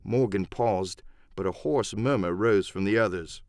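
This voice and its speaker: A man's voice: fairly low pitch, a little slow, darkish tone, no echo, clean studio sound. A man narrating a story as a scripted, dramatic audiobook reading.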